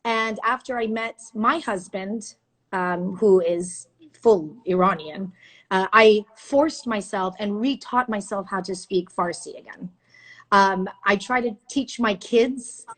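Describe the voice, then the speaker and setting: A woman hosting a casual live video interview, enthusiastic and animated as she tells stories. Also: mid-range voice, takes it slowly, rough recording. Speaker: host, news anchor. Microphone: phone or video call.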